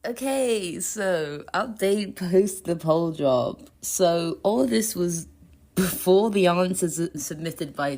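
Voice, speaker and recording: Female listener message, lowish pitch, informal, wry with playful melodrama. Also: a little mellow. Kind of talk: casual recorded voice message.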